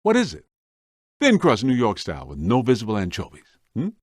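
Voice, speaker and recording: A man's voice: fairly low pitch, wildly swooping pitch, a little fast, breathy edge, no room sound, studio quality, heavily acted. A man playing a streetwise, wisecracking ghost hunter in a video game, speaking in a colloquial, wry, plain-spoken way. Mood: wry, casual. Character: streetwise, wisecracking male ghost-hunting team member.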